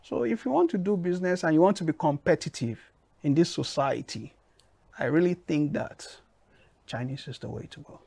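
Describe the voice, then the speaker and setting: A man with a Nigerian accent answering interview questions in a measured, emphatic way. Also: fairly high pitch, gravelly, darkish tone, no echo. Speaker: guest.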